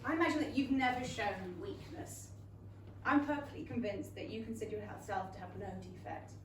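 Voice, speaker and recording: A woman's voice: a little high, lively intonation, normal speed, natural voice. Actress as a defiant Englishwoman, very echoey. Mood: dry, scornful. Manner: pointed, dry retorts. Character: sharp-witted, defiant young Regency gentlewoman. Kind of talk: period comedy stage acting. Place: small London theatre. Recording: very echoey theatre, far mic, faint noise.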